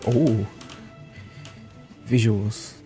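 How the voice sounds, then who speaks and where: A man's voice: fairly low pitch, steady tone, very slow, over music. A young man giving casual gameplay commentary in short, slangy, murmured reactions.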